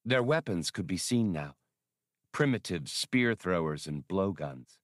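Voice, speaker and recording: Male narrator for adventure audiobook: lowish register, scripted storytelling read, tight dry studio sound. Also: expressive, measured pace, dry recording.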